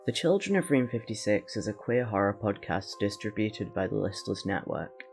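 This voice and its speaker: A man with a gravelly voice narrating a scripted podcast trailer. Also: fairly low pitch, lively intonation, normal speed, a little mellow. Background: music.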